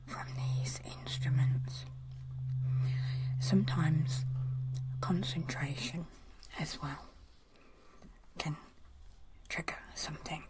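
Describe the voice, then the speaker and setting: A woman whispering softly and gently as she hosts and explains in an ASMR style. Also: sits low, poor audio. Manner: soft, gentle, slow and deliberate whispering. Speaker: ASMR video creator and host.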